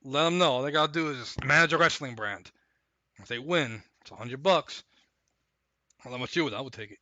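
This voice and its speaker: A man hosting a live stream, chatting casually and recapping fights in a rambling, hyped way while his voice goes hoarse. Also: mid-range voice, brisk, sharp tone, no room sound. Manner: rambling, hyped, repeats himself. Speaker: stream host.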